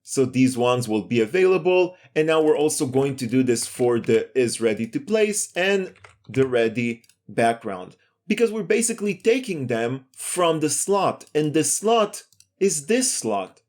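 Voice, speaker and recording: A man's voice: medium pitch, big pitch swings, unhurried, darkish tone. A man giving a step-by-step screencast programming lesson in a methodical, explanatory way. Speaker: programming tutorial instructor.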